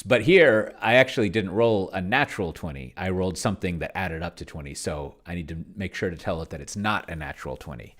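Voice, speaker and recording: A man with a low, gravelly voice talks casually through his own game as host. His pitch swings in a lively way while he thinks aloud, rambling and hesitating, often leaving a thought half finished. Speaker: solo tabletop roleplayer and host, narrating own play session. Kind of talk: casual unscripted play-along commentary, thinking aloud.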